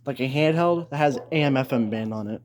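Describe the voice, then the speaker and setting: A man talks casually through a collection in an unscripted, rambling way, often doubling back on himself. His voice sits at an ordinary pitch, recorded with light noise and small clicks around it. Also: brisk. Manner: rambling, backtracks and repeats himself. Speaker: collector presenting weather radios. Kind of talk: casual unscripted show-and-tell. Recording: noisy home recording with clicks.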